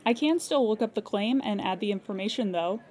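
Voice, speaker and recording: A woman's voice: mid-range voice, a little fast, close to an everyday voice. A woman acting as a weary but patient emergency hotline operator in an audio comedy drama, polite and dryly professional. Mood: calm, polite. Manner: calm customer-service politeness with dry exasperation.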